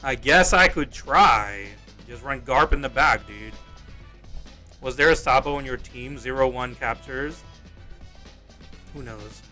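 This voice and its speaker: A man's voice: medium pitch, slow pace, long pauses, sharp tone, soft background music. A young Australian man livestreaming a mobile game, chatting casually to viewers in a rambling, exasperated way, swearing and repeating himself. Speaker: gaming streamer. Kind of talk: casual livestream chat while playing.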